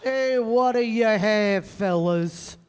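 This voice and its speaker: A man's voice: high voice, takes it slowly, a little mellow, smooth. A man playing a surly, hot-tempered tough bartender in a radio drama, brusque and bullying. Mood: gruff, impatient. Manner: brusque, bullying, wise-guy slang. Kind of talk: old-time radio drama acting. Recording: echoey stage hall, long reverb.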